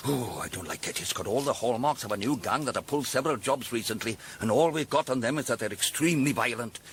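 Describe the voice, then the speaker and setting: An actor playing a dogged police detective in a radio drama, plain-spoken, deferential and clipped. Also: male voice, mid-range voice, animated, quick pace, a little hiss or hum, lightly acted. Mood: grave, puzzled. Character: dogged police detective reporting to his superiors.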